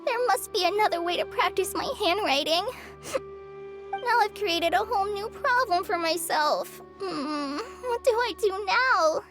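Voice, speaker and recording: A woman's voice: extremely high pitch, lively intonation, unhurried. A woman voicing a shy, anxious young forest ranger in a video game, girlish, hesitant and easily flustered. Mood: fretting. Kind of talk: video game character acting. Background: background music.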